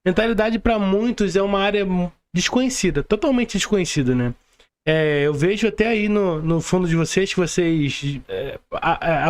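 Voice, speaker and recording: A Brazilian man with a notably high voice hosts a casual podcast. He talks informally, leaning on repeated words and questions to make his point. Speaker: podcast host.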